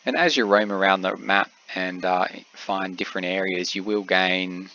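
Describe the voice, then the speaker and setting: A man with a cold, sounding a little congested, giving relaxed game commentary with frequent pauses. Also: deep voice, highs cut off, a little hiss or hum. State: has a cold, slightly congested. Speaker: gameplay commentator.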